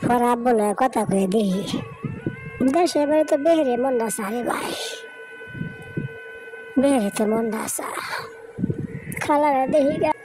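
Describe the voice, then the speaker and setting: A woman acts out a protective elder sister in a cartoon drama, high in pitch, with a rural Bangladeshi accent. She is emotional and emphatic, repeating her phrases, and music plays clearly behind her. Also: unhurried, long pauses, rough recording. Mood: thoughtful.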